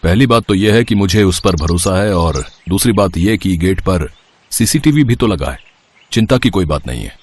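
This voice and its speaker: A man voicing a wealthy, trusting factory owner, measured and reassuring, in a moral story. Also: low-pitched, lively intonation, husky. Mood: kindly, reassuring. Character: kindly, trusting wealthy male factory owner, calm boss. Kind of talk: Hindi moral story voice acting.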